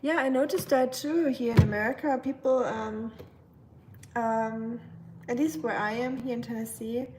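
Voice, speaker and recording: A woman's voice: fairly high pitch, steady tone, moderate pace, darkish tone, a little hiss or hum. A young woman with a German accent, chatting casually on a livestream while cooking in her home kitchen, with lots of ums. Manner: chatty, rambling, many ums, reads chat aloud. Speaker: livestream host. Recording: distant mic with kitchen clatter. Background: dishes, pots and pans clinking.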